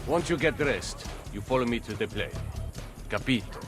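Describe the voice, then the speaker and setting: An actor playing a grim, obedient assassin in a video game cutscene, curt and menacing. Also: male voice, medium pitch, expressive, normal speed, over music, lightly acted.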